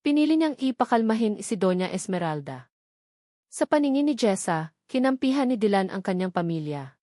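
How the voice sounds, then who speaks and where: A woman storyteller narrates a sentimental love story with earnest feeling. Her voice sits at an average female pitch, recorded dry and close with no room sound. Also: sing-song, normal speed, dark tone, dry recording. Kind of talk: scripted dramatic narration of a romance story.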